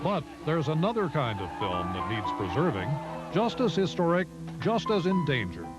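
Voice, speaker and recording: A man's voice: fairly high pitch, big pitch swings, moderate pace. A man with an American accent delivering scripted documentary narration as a reporter. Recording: loud music bed behind the voice. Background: music.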